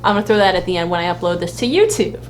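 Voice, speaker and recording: A woman's voice: lowish voice, expressive, racing. Joking woman on a noisy livestream. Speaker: fan vlog co-host. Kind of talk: casual live fan chat. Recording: noisy home livestream audio.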